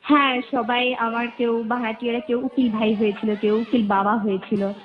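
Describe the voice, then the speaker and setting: A woman's voice: fairly high pitch, level tone, moderate pace. A woman with a Bangladeshi accent telling her life story as an interview guest, in detail and with remembered dialogue. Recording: muffled, narrow phone-like band.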